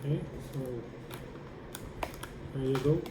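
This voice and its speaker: Slow-talking man demonstrating in an echoey room. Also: mid-range voice, steady tone. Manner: halting, explaining step by step while working. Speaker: tutorial presenter. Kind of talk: hands-on how-to demonstration. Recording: echoey room with clear background noise.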